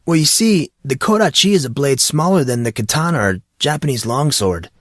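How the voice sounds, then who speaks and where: A man's voice: medium pitch, lively intonation, a little fast, no room sound, studio quality, voice pushed up, lightly acted. A man voicing a laid-back, enthusiastic teenage boy who talks in casual slang in an audiobook. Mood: eagerly explaining. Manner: slangy, eager, casual bro-talk. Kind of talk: audiobook character dialogue.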